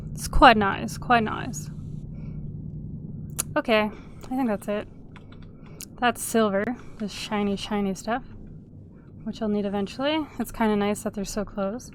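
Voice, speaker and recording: A woman's voice: medium pitch, fairly even intonation, a little slow, pauses often, background noise. Sniffly woman chatting through a gameplay video. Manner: chatty, hums and sings little tunes while playing. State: sniffly from a cold. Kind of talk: casual let's-play commentary.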